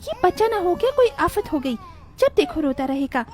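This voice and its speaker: A woman voicing a haughty, selfish young daughter-in-law in an animated moral tale, dismissive in manner. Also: very high-pitched, moderate pace, heavy background noise. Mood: irritated.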